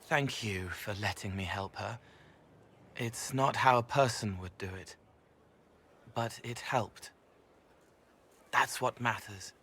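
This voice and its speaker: A slow, breathy, gentle young spirit. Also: male voice, lowish voice, heavily acted. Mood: quiet, earnest. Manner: halting, dreamlike, speaks in poetic fragments. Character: gentle young male spirit who senses others' pain. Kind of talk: video game voice acting.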